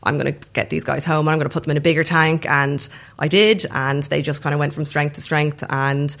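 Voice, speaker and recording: A woman's voice: deep voice, fairly even intonation, a little fast, gravelly. A woman with an Irish accent chatting casually as an interview guest, telling stories in a chatty way and acting out bits of dialogue. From Ireland. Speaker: guest, snake performer.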